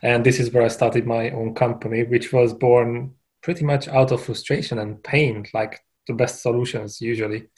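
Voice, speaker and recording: A man from Hungary, a guest on a podcast, answering questions in a relaxed, conversational way and laughing easily. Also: mid-range voice, moderate pace, few pauses. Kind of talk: casual interview answers.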